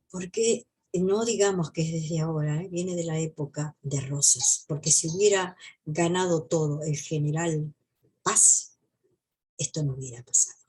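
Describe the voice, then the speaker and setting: A woman's voice: low voice, dark tone, husky, poor audio. A woman with an Argentine accent from Buenos Aires province, giving informal, reflective history commentary to viewers and repeating phrases for emphasis. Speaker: history video presenter.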